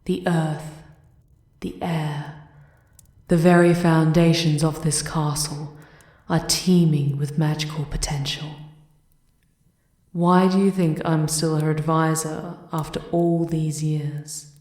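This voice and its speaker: A woman's voice: sits low, level tone, slow, a little mellow. A woman playing a bitter, scornful castle advisor and sorceress in a fantasy ASMR roleplay, speaking formally in an echoing space. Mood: ominous, scornful. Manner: ominous, formal, haughty. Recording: echoey, reverberant room sound.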